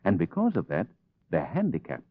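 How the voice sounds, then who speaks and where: A man's voice: sits low, wildly swooping pitch, fairly quick, husky, heavily acted. A man playing a bold, commanding, protective detective hero in the dramatic style of 1940s radio, on a muffled old recording. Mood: determined. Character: bold, stubborn male detective hero, protective of his sweetheart.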